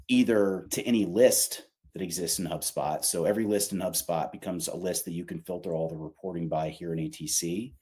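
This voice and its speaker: A man's voice: low-pitched, mellow, breathy edge. A man talking informally and emphatically, explaining his company's product in a conversational way with casual asides.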